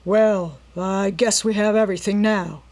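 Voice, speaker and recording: Woman doing a brutal male robber boss, growling, sneering, loud, menacing. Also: medium pitch, steady tone, unhurried, natural voice. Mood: gruff, impatient. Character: snarling brutal male robber gang leader.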